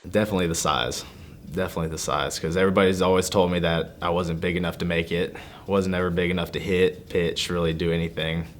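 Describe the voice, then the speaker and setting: Low-voiced young college pitcher. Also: male voice, little pitch movement, fast talker, a little hiss or hum. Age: young adult. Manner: casual, plain-spoken, hesitant. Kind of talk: interview.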